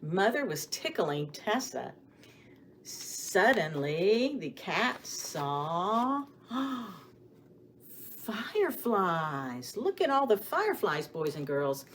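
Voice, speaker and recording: A woman hosting a story time for young children, speaking to them with questions and expressive emphasis as she shares rhymes and picture books. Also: mid-range voice, drawn-out words, slight background noise.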